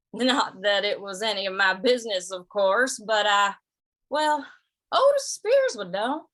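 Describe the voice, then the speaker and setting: A woman's voice: mid-range voice, very animated, a little slow, fairly bright tone. A woman playing an amiable, curious cowboy in an old-time western radio drama, with a mild, hesitant, folksy way of talking. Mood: musing.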